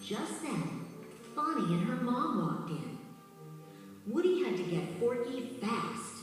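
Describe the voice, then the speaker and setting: A woman's voice: on the high side, sing-song, slow. A woman reading scripted children's storybook narration as the narrator of a read-along. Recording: very echoey distant hall, music underneath.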